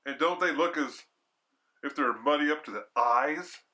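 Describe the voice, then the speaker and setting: A man voicing a brisk, cheerful, gushing hostess lady in a story reading. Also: on the high side, sharp tone. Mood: excited. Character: brisk, chattering middle-class hostess lady.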